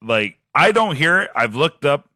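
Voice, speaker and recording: A man with a deep voice and a slight lisp, co-hosting a casual podcast, sarcastic and self-mocking, laughing often. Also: sing-song, a little slow, bright, forceful tone, no room sound, studio quality. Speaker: podcast co-host. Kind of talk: casual chat.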